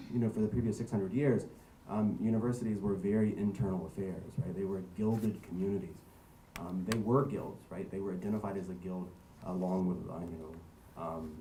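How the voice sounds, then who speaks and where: A man's voice: low-pitched, mellow. A man giving a public talk, reading a passage of law aloud and then explaining it with frequent ums and tag questions. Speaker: panel speaker. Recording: roomy hall, distant mic, faint noise.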